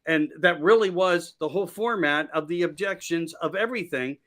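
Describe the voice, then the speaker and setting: A man's voice: on the high side, moderate pace, fairly bright tone. A man from Pennsylvania, a podcast host appearing as a guest, talking politics in a rambling, emphatic way and repeating his key phrases. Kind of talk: casual political chat.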